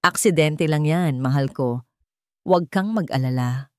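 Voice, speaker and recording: A woman voicing a calm, menacing man, a doting but dangerous partner in a storybook, controlled and softly probing. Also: deep voice, measured pace, no echo, studio quality. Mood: reassuring.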